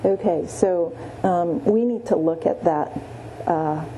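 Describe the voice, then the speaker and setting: Woman meditation teacher, slow and gentle, dark mellow tone, faint background hiss. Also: fairly low pitch, poor audio. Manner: gentle, many pauses and restarts, frequent "yeah". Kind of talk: guided Buddhist meditation teaching. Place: meditation room.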